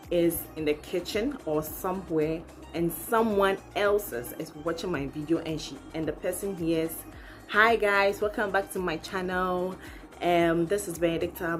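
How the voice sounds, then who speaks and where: Chatty low-voiced young Ghanaian woman, music behind. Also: a little mellow. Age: young adult. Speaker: YouTube channel host. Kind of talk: casual chat to camera. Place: living room, indoors. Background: background music.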